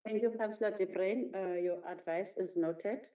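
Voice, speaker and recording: Woman council chair, mellow dark tone, halting, echoey muffled chamber. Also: mid-range voice, fairly even intonation. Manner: hesitant, many ums and uhs. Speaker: council speaker chairing the meeting. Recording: roomy, dull council chamber audio.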